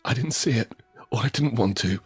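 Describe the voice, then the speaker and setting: An actor playing an anguished, guilt-ridden survivor in a post-apocalyptic audio drama, brooding and halting, in a hushed confessional manner. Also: male voice, mid-range voice, breathy edge, no room sound, soft background music. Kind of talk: dramatic first-person audio drama narration.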